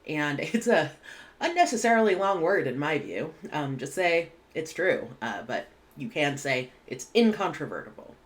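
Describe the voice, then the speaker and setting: Low-voiced woman teaching vocabulary. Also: sing-song. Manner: clear, measured explanation with casual asides. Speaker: English teacher. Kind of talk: vocabulary lesson. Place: home room indoors.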